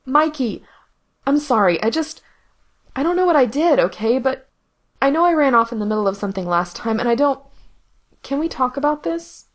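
A woman voicing an anxious young man who pleads with his brother in a high, strained voice. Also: animated, moderate pace, pauses often. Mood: pleading.

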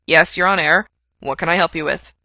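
A punchy, sarcastic male radio DJ as a woman reader plays him in an audio story. He is blunt and easily flustered, with bright, forceful projection. The sound is dry and close. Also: low-pitched, a little fast, no room sound. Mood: brisk, sarcastic. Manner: emphatic, blunt, on-air patter.

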